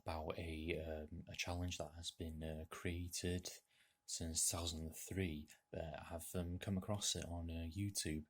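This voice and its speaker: A man's voice: deep voice, level tone, unhurried, slight background noise. A young man from North Wales, a native Welsh speaker with a North Welsh accent, answering accent tag questions at home in a hesitant way, with many ums while he reads off a laptop. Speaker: YouTuber.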